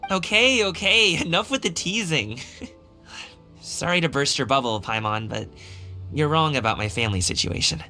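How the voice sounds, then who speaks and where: A man's voice: a little high, animated, moderate pace, pushed and bright, exaggerated voice. A man voicing a friendly, earnest young male dancer in a video game, speaking in an easygoing, casual way. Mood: good-natured. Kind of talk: video game character acting. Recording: faint game music behind the voice. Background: faint game music.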